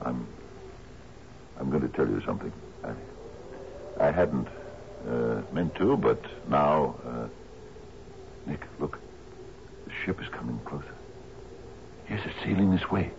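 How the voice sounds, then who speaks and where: An actor playing a doddering, sentimental old island sea captain who stammers and repeats himself, in a 1970s radio drama. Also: male voice, rumbling low, expressive, takes it slowly, vocal fry, pauses often, exaggerated voice. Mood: hesitant, confiding. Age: older. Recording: dull, noisy old radio recording.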